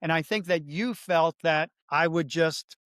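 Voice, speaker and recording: A middle-aged man co-hosting a political podcast, talking in a measured, thoughtful way with frequent restarts. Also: fairly high pitch, fairly bright tone, no echo, clean studio sound. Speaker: podcast co-host. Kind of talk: political commentary.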